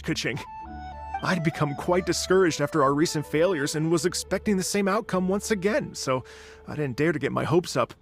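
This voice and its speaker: Earnest musician with creaky voice. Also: male voice, a little high. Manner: polite, hesitant, earnest. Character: earnest, humble, excitable foreign male musician. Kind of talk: video game voice acting.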